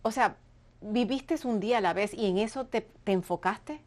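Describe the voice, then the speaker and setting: A woman's voice: medium pitch, moderate pace, a little mellow. Woman host recapping her guest's story. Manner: encouraging, recaps the guest's words. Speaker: podcast host. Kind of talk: interview.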